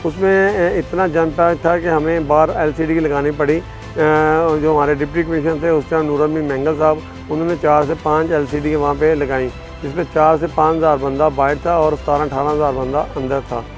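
A middle-aged Pakistani groundsman with a slightly high voice, sitting out on the field for a sports documentary interview, music playing underneath. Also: flat delivery, moderate pace. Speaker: stadium caretaker being interviewed. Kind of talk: informal interview to camera. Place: outdoors on a cricket stadium field. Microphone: lapel mic. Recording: music behind the voice.

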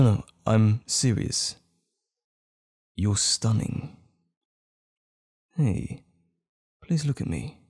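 A man playing a tender, smitten date in an intimate romantic ASMR roleplay, speaking gently and hesitantly with lingering pauses, close to the microphone. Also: fairly low pitch, creaky, full character voice. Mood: adoring, romantic. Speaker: audio roleplay performer.

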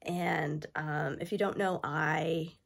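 A woman in her thirties talks straight to the camera in a room lined with toys. Her nose is stuffy from allergies, and her somewhat low voice carries some vocal fry. She rambles eagerly, full of ums and little laughs. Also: fairly even intonation, takes it slowly. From New York State. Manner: chatty, enthusiastic, many ums. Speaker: thrift haul vlogger. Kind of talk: casual chat to camera. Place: indoor room with toy shelves.

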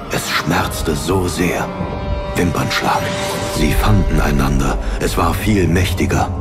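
Deep-voiced solemn male audiobook narrator. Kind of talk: dramatic scripted fantasy narration.

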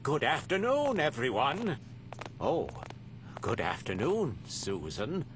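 An actor playing a courteous, awkward scientist in a video game, halting and polite. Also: male voice, mid-range voice, wildly swooping pitch, full character voice. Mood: shy, courteous. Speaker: doctor character. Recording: clear background ambience noise.

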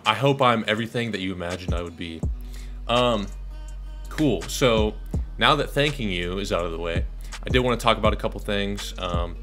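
A young man from Dallas, Texas, a videographer, talking casually to camera in a monotone, rambling way with lots of ums. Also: fairly low pitch. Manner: laid-back, rambling with many ums. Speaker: videographer and channel host. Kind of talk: casual thank-you vlog to camera. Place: small pink-lit bedroom, indoors.

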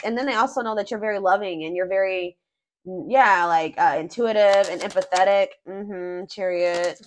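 A woman giving a casual tarot reading off camera, thinking aloud with fillers and restarts, her voice a little hoarse. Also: fairly low pitch, noisy background. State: voice going hoarse. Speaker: tarot reader.

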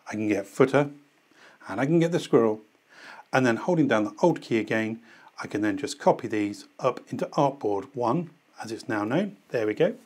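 A man, presenting a screen-recorded software tutorial and walking through each step as he clicks, recorded in a studio on a lapel mic. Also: fairly low pitch, breathy edge. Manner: relaxed step-by-step, thinking aloud while clicking. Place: studio set with desk and laptop.

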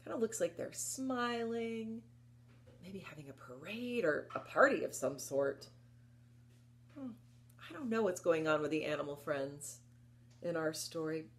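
A woman in her fifties presenting story time for young children, expressive and encouraging, often putting questions to her listeners. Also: fairly low pitch. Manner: expressive, encouraging, asks listeners questions, slow deliberate delivery. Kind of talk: children's story time with rhymes and picture book reading. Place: indoors, small book-lined room at home.

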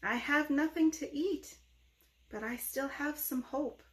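A woman's voice: high voice, a little slow, a little hiss or hum, poor audio. A woman voicing a lost, hungry neighbor in a rhyming storybook tale, speaking in an earnest, pleading way.

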